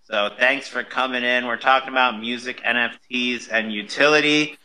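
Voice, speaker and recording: A man's voice: medium pitch, steady tone, normal speed, bright, forceful tone, slight background noise, poor audio. A man hosting a live online audio room in an upbeat, enthusiastic, chatty way. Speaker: host of an online audio show. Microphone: live social audio room call.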